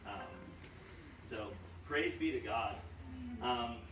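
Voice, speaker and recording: A man's voice: medium pitch, animated, slow pace. A young man from Romania giving a joking, rambling best-man toast full of fillers, at a wedding reception. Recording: echoey room, far from mic, noisy.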